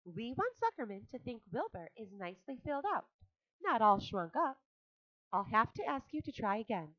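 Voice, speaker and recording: A woman's voice: fairly high pitch, moderate pace, no echo, voice pushed up. A woman voicing a kind, wise female barn spider, coaxing and polite. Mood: urging, warm. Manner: bright, lively, coaxing and polite. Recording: dull lo-fi audiobook recording.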